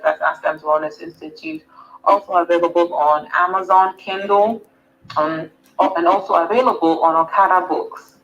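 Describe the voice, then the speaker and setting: A woman's voice: lowish voice, a bit bright, a little hiss or hum. Earnest Nigerian woman, slightly dull audio. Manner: earnest, repeats words for emphasis. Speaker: wellness coach and summit speaker. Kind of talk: reading and answering interview questions.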